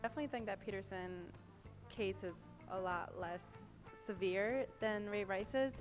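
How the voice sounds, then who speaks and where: A woman's voice: medium pitch, moderate pace. Thoughtful woman in her twenties. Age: 20s. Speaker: graduate student interviewee. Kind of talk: street interview answers. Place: outdoors on a college campus. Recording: faint music underneath.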